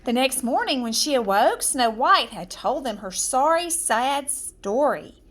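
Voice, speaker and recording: A woman reading a children's fairy tale aloud, with expressive, deliberate, dramatic storytelling. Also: high-pitched. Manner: measured, dramatic, deliberate phrasing.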